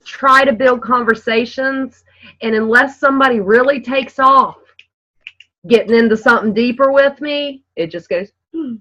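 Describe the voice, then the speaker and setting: A woman's voice: a little high, moderate pace, pushed and bright, muffled recording. A woman on a casual group call, speaking bluntly and frankly and laughing now and then.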